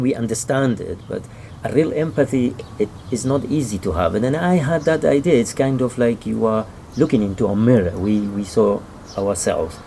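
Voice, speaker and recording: A middle-aged man with a Kurdish accent, a native Kurdish speaker, giving halting, conversational interview answers as he searches for words. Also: on the low side, vocal fry, mellow, a little hiss or hum. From Iraqi Kurdistan. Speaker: author and publisher, interview guest.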